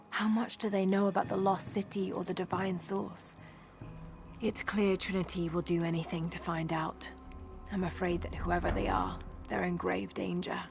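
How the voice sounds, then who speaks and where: A woman's voice: lowish voice, vocal fry. A woman playing a determined young archaeologist adventurer in a video game, earnest and intent. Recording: music under the voice.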